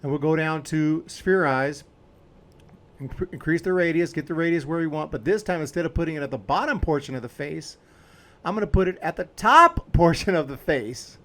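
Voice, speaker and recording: A man's voice: on the high side, expressive, normal speed, fairly bright tone. A man hosting a video editing tutorial, talking casually and informally as he explains each step while clicking along.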